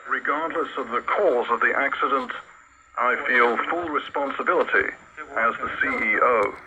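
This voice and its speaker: A man's voice: mid-range voice, normal speed. Man giving a formal statement. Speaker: company CEO. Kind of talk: press conference statement. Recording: muffled radio broadcast with noise.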